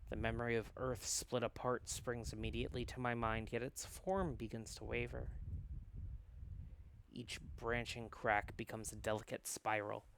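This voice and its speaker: A man voicing a thoughtful, eloquent scholar in a visual novel, with measured, formal, literary phrasing. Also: mid-range voice, a bit bright, slight background noise. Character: thoughtful, eloquent male scholar studying arcane flowers. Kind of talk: visual novel read-aloud with narration.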